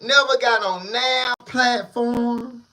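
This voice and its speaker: A man hosting a casual street-news commentary channel, talking in slang with drawn-out, emphatic delivery. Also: very high voice, steady tone, sharp tone.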